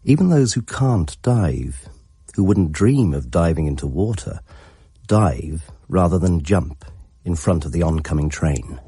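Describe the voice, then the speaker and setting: An actor playing a grave chronicler of disasters, giving measured, factual scripted narration in a radio drama. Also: male voice, low voice, animated, warm tone, husky, full character voice.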